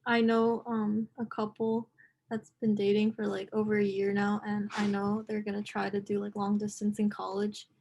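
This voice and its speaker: A teenage girl, a high school senior, talking casually with many likes as a panelist on a video call. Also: monotone, moderate pace, creaky, darkish tone, a little hiss or hum, low-quality recording. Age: teens. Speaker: student panelist.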